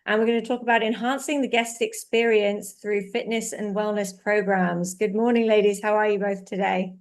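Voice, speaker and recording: A woman's voice: medium pitch, little pitch movement, moderate pace. Polite woman hosting a webinar. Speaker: webinar host. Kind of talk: webinar interview.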